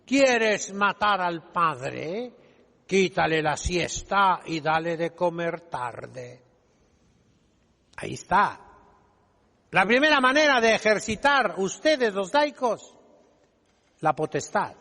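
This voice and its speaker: High-voiced man preaching, long hall reverb. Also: expressive, takes it slowly, vocal fry, long pauses, pushed and bright. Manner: emphatic, didactic, rhetorical questions with calls to repeat. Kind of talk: sermon.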